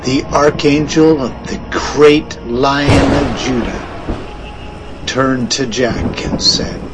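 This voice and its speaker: A man narrating a storybook adventure over music, in a slow, dramatic storytelling cadence. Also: medium pitch, steady tone.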